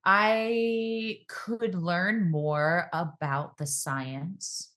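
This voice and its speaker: Low-voiced woman, calm speaking coach. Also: drawn-out words. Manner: calm, varies pace and pitch to demonstrate voice. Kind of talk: reflective podcast interview.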